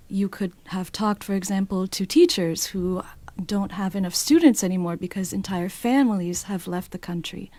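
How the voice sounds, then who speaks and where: A woman interpreting a meeting speech simultaneously, relaying it at a measured, steady pace. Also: mid-range voice.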